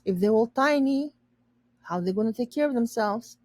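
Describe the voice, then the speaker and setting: A woman reading a children's story aloud and pausing to explain words simply for learners. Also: a little high, animated, a little mellow, no echo.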